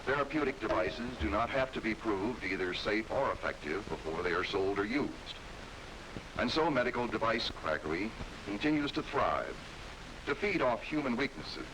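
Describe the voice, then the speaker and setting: A man's voice: fairly low pitch, background noise. An American man reading scripted 1960s documentary narration with an emphatic, authoritative delivery on an old film soundtrack.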